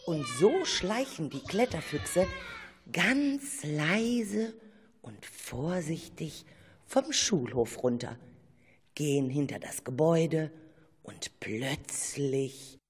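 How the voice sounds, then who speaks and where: Husky, slow woman storyteller with lively swoops. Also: low-pitched. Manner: expressive, drawn-out storytelling. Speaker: narrator. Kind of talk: storytelling narration for a children's radio play.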